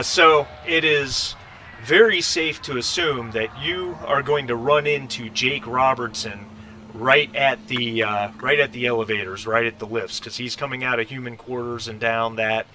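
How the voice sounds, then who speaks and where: Male tabletop game master, middle pitch, bright tone, halting improvised narration, casual, slight background noise.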